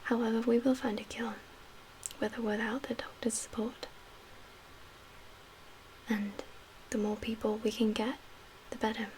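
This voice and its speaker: A woman playing a calm, guarded survivor leader in a soft-spoken ASMR roleplay, half-whispering in a measured, reassuring way with pauses. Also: fairly high pitch, close to an everyday voice, poor audio. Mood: weary, resolute. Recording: audible background noise.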